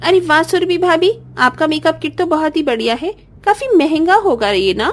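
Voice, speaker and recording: A woman's voice: very high voice, animated, moderate pace. A woman playing a greedy, spoiled young sister-in-law in a cartoon, wheedling with sugary, theatrical pleading. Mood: gushing, whiny. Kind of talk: Hindi cartoon family-drama acting.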